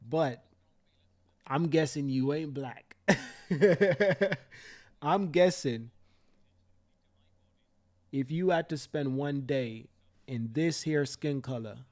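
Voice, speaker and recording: A man's voice: a little high, extremely slow, pauses often, a little mellow. Fiery Jamaican man ranting live. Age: young adult. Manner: fiery, profane ranting with repetitions. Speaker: livestream host. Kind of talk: heated live commentary.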